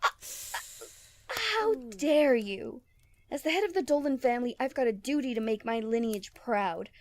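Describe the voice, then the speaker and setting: A woman's voice: on the high side, animated, moderate pace, a little mellow, natural voice. A woman playing a proud, outspoken male general in a fantasy audio roleplay, speaking formally with indignant challenge.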